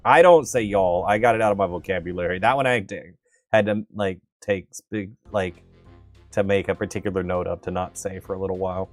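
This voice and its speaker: Chatty low-voiced gaming streamer. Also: male voice, fairly even intonation, fairly quick. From Southern United States. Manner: rambling and chatty, with many fillers. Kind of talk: casual gameplay commentary. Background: faint game music.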